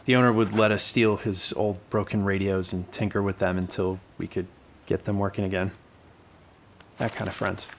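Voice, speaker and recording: A man's voice: sits low, flat delivery, moderate pace, fairly bright tone. A man playing an evasive informant in his thirties in an audio drama, with a guarded, clipped, needling way of talking. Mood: guarded, wistful. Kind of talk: naturalistic audio drama dialogue.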